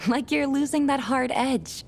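An actress with an American accent playing a warm, earnest young woman fighter in a video game. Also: high-pitched, unhurried, creaky, slight background noise. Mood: warm, gentle. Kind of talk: video game cutscene voice acting.

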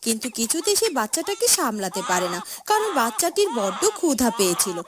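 High, lively woman storyteller. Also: fast, crowd noise. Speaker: narrator. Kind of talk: scripted fairy-tale narration.

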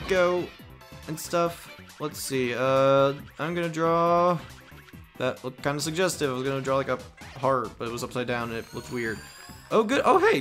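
A man's voice: fairly high pitch, a bit bright. A man hosting a let's play, giving rambling, offhand commentary in a casual style.

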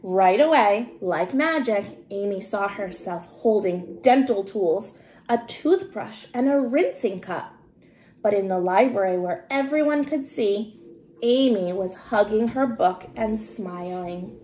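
A middle-aged woman reading a children's story aloud expressively, with deliberate, emphatic phrasing. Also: mid-range voice, lively intonation, mellow. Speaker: storybook reader. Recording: roomy home recording with noticeable hiss.

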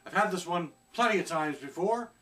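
A middle-aged man reviewing a beer at home in a casual, chatty way, often pausing on fillers as he searches for words. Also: sits high, animated, fairly bright tone, far from the mic. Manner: chatty, hesitant with many fillers. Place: small room at home, seated indoors.

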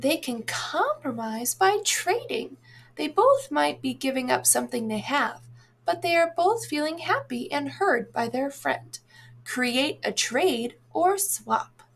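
A woman reading a children's storybook aloud in an expressive, deliberate way for young listeners. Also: high-pitched, creaky. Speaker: read-aloud narrator, teacher.

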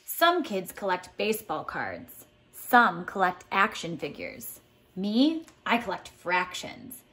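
A woman reading a picture book aloud as a teacher, expressive and deliberate, pausing to set tasks. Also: mid-range voice. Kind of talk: expressive children's read-aloud with lesson prompts. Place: indoors at home, tiled floor.

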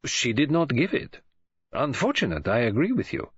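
A male narrator acts a pompous older foreign detective in an audiobook. He is formal, deliberate and sure of himself, with very lively pitch movement. The sound is slightly dull. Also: husky, heavily acted. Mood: self-assured. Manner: formal, deliberate, self-assured.

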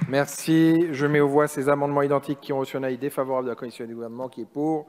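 A man chairing a parliamentary session with brisk procedural announcements. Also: medium pitch, flat delivery, darkish tone, clear, resonant tone. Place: large parliamentary chamber. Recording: long hall reverb.

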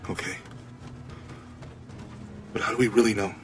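A man's voice: fairly even intonation, normal speed, natural voice. An actor playing a cautious, concerned male expedition companion in his thirties in a video game cutscene. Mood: worried, doubtful. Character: worried, loyal burly male expedition companion. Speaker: companion character. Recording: music and vehicle rumble behind. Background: music, aircraft cabin rumble.

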